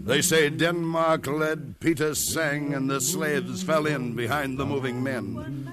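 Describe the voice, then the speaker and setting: Man acting a solemn storyteller over loud music. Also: medium pitch, takes it slowly, natural voice. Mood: grave, building. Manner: rhythmic, incantatory. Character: solemn male folk storyteller narrating a legend. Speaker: narrator. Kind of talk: poetic 1950s radio drama narration. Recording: old radio recording with loud music.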